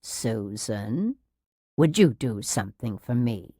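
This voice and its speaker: A woman's voice: deep voice, lively intonation, a little slow, no echo, clean studio sound. A woman reading an audiobook as a severe nurse who talks in a syrupy, falsely soothing, patronising and overly reasonable manner. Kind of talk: dramatised audiobook narration.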